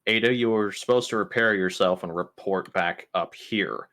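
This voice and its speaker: Man playing a low-voiced, blunt spaceship captain. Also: moderate pace, a bit bright. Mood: exasperated. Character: impatient, blunt spaceship captain giving orders.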